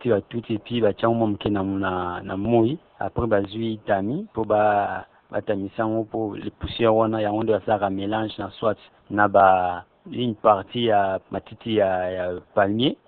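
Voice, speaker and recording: Low-voiced Congolese trader. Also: male voice. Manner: rambling mix of two languages. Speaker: tobacco trader. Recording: narrow phone-like band.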